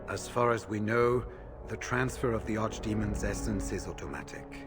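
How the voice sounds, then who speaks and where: An actor playing a solemn veteran warrior who speaks in a measured, grave manner. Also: male voice, on the low side, music in the background, lightly acted. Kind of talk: fantasy game dialogue.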